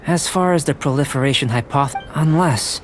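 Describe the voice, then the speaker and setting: An actor voicing a calm, curious young male alchemist in an anime fantasy game, measured, formal and analytical in his speech. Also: on the high side, lightly acted. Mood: appraising, reassuring. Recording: game music behind the voice.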